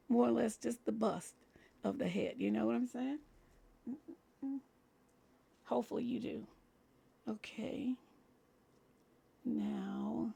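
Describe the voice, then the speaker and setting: Husky gravelly woman with a scratchy cold voice. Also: on the high side, mellow. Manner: chatty, talks through each step as she works. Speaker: crafting channel host. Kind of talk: casual crafting tutorial talk.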